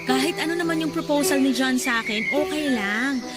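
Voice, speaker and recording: A woman acting a high-voiced, chatty bride-to-be. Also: a little fast, close to an everyday voice. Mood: happy, hopeful. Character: excited bride-to-be telling a friend about her proposal. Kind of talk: radio drama dialogue. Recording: loud music under the voice.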